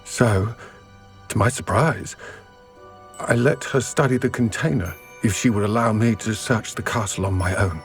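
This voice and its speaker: An actor voicing an earnest, remorseful goblin in a video game, speaking formally and gravely. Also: male voice, fairly low pitch, faint music behind, close to an everyday voice. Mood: wistful, grave. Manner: measured, formal, solemn. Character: earnest, remorseful male goblin, wary of his ruthless kin. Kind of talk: dramatic video game dialogue.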